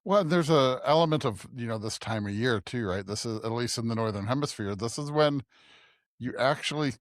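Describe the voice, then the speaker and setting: Thoughtful, halting American man, dry sound. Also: medium pitch, no echo. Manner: thoughtful, halting, searching for words. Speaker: podcast co-host. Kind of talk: conversational discussion of scripture.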